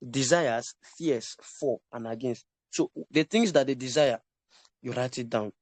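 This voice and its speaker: A man's voice: medium pitch, a little slow, dry recording. Man teaching a live online class. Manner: repetitive, emphatic, checks in with listeners. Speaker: sales coach. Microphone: online call.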